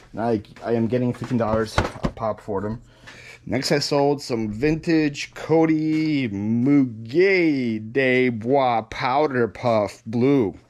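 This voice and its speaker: A young man with a Ukrainian accent who was born in Ukraine, talking loosely in a casual vlog with lots of ums and restarts. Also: mid-range voice. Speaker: reseller vlogger. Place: warehouse.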